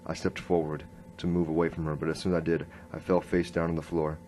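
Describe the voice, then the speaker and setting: An actor playing an earnest, halting noir police inspector who narrates his case in a radio drama. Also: extremely low pitch, flat delivery, darkish tone, a little hiss or hum. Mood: brooding, tense. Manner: hard-boiled first-person storytelling, halting.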